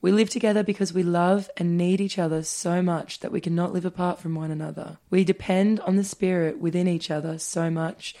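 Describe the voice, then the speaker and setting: A woman's voice: fairly low pitch, steady tone, brisk, few pauses, dark tone. A woman co-hosting a casual, tipsy chat podcast, telling stories. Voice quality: nasal. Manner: storytelling, laughs a lot. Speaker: podcast co-host.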